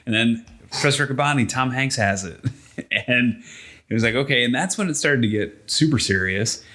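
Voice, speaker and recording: A man's voice: medium pitch, moderate pace, pushed and bright. A man hosting a podcast in a casual, conversational style. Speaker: podcast host. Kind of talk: casual chat.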